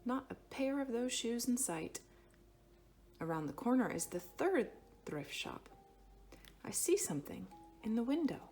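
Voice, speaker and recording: A woman reading a children's picture book aloud expressively, with dramatic phrasing that stretches some words and hushes others. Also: a little high, big pitch swings, a little slow, pauses often, soft background music. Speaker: storybook reader.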